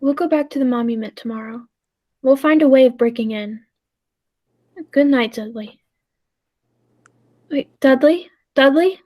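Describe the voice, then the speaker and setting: A woman's voice: high-pitched, measured pace, pauses often, a little mellow. A woman playing an earnest, thoughtful time traveller who narrates a radio play with dramatic storytelling. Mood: calm, coaxing.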